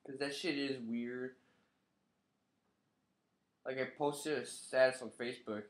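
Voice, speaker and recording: A man's voice: mid-range voice, fairly even intonation, slow, reverberant. A young man with a stuffy, nasal voice from a cold, talking loosely and with some swearing to a webcam in his living room. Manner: loose, profane, offhand. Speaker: vlogger. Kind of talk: casual commentary to camera.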